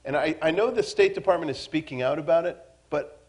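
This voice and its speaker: A man's voice: fairly high pitch, brisk. A middle-aged man answering a question on a panel through a lapel mic, in a conversational and assured way. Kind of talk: panel discussion.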